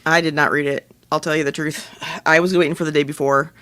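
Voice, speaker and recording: A man with a somewhat high, bright voice in a very dry, close recording. He chats casually as a podcast co-host, halting and hesitant as he goes. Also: flat delivery, clean tone, no echo. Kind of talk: casual chat.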